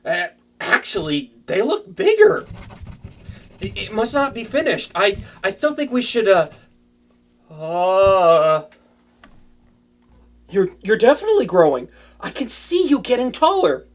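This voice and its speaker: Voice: mid-range voice, very animated, a little slow, long pauses. A performer voicing an earnest, flustered young wizard in an intimate fantasy audio drama, chatty and prone to anxious stammering. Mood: alarmed.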